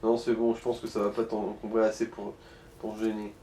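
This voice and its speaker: A man's voice: on the low side, monotone, fairly quick, dark tone. A man giving casual guidance during a video game, mixing wordy, mock-formal phrasing with slang. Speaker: co-player guiding the gamer. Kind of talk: casual gaming commentary. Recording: echoey room.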